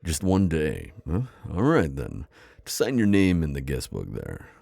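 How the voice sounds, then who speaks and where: A man playing a kindly, worldly old vampire innkeeper in an intimate ASMR roleplay, with a deep, gravelly voice and a hospitable, chatty manner. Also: wildly swooping pitch, a little slow, darkish tone, no echo, exaggerated voice. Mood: friendly, explanatory.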